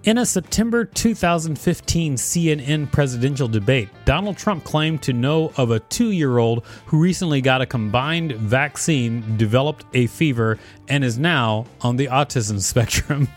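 A man with an average-pitched voice co-hosts a podcast. He alternates between reading text aloud and casual discussion. There is faint music in the background. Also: expressive, moderate pace. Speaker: podcast co-host.

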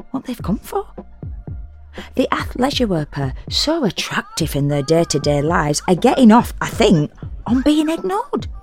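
Wry, low-voiced woman confiding. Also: gravelly, a little mellow. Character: sardonic, self-deprecating woman confiding a strange private tale. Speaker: solo performer reading a dramatic monologue. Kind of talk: intimate first-person audio drama monologue. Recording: music under the voice.

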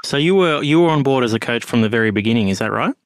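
A man's voice: mid-range voice, lively intonation, fast, clean tone, no room sound. A man hosting a podcast who asks interview questions and gives short encouraging reactions. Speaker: podcast host.

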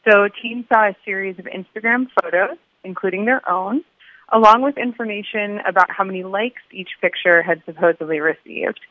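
A woman researcher explaining a study in an interview, choosing her words carefully in a measured way. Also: medium pitch, dry recording, narrow, tinny audio.

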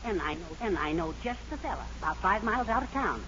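Genial sitcom dad, folksy, animated intonation, vintage radio with muffled sound. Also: male voice, high-pitched, fast talker, full character voice. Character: genial, conspiratorial middle-aged father. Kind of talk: 1940s radio comedy acting. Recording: dull, hissy old radio recording.

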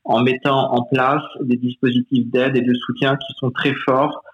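A man's voice: mid-range voice, level tone. A man speaking as a guest financial advisor from a remote connection, explaining step by step with frequent hesitations. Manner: measured, explanatory, many hesitations. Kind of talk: remote interview. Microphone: remote call. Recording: dull remote line with faint hiss.

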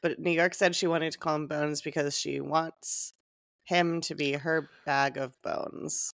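A woman's voice: deep voice, flat delivery, measured pace, vocal fry, no echo, clean studio sound. A woman co-hosting a podcast, chatting casually and giggling between remarks over a remote call. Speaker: podcast co-host. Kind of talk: casual chat recapping a reality show. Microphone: remote call microphone.

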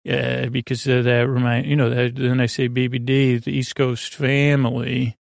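A man with a gravelly, creaky voice hosts a bedtime podcast. He wanders through tangents and filler words in an unhurried ramble meant to lull the listener toward sleep. The sound is close and dry, with no room around it. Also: medium pitch, flat delivery, dry recording. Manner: meandering, full of fillers and pauses.

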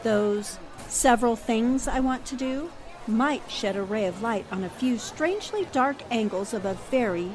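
An actress playing a polite, self-possessed and inquisitive newspaper reporter in a 1940s radio drama style. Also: female voice, on the high side, lively intonation, normal speed, a little mellow, close to an everyday voice. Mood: quietly determined. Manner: polite, crisply questioning. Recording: music and crowd murmur behind the voice.

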